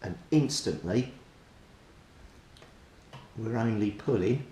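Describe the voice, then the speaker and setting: A man with a fairly low voice talks through a hands-on repair step by step. He thinks aloud in a methodical, unscripted way. The room is quite echoey, with clear rumbling noise behind him. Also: lively intonation, takes it slowly, mellow. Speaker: hobbyist radio repairer presenting his progress. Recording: roomy echo, clear rumbling background noise.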